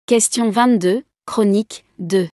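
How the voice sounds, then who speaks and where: A woman's voice: medium pitch, slow pace, mellow, no room sound, studio quality, faint music behind. A woman reading scripted test instructions as an exam announcer.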